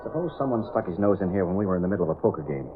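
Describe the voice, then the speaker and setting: A wary railroad gambler from vintage radio drama, low and quick in speech. He is controlled and domineering, able to turn courteous. The old recording is tinny and narrow. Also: very animated, background noise. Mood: irritated, suspicious. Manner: curt, suspicious. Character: wary, domineering male railroad card sharp, feigning politeness. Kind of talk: 1930s-40s radio drama acting.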